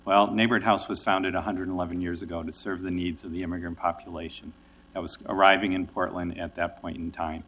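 A man with a very low voice addresses a city council on behalf of his organization. His delivery is friendly and conversational, with occasional restarts. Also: little pitch movement, fairly quick, fairly bright tone. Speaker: nonprofit executive director. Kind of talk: public testimony at a city council. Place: council chamber.

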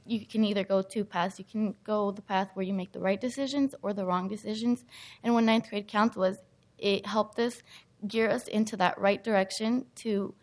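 A teenage young woman, a high school student, giving an earnest prepared speech in public. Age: teens.

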